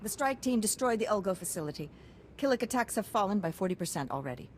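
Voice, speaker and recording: A woman's voice: medium pitch, big pitch swings, fast, darkish tone, slight background noise, close to an everyday voice. A woman playing a pragmatic, hard-nosed military science commander in a video game, clipped and businesslike. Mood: weary, conciliatory. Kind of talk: video game character acting.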